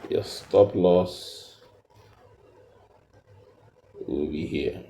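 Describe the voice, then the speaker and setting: Slow, deep, dark-voiced man explaining. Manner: halting, repetitive, instructional. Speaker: trading tutorial presenter. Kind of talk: unscripted step-by-step chart tutorial. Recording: roomy, echoey voice recording.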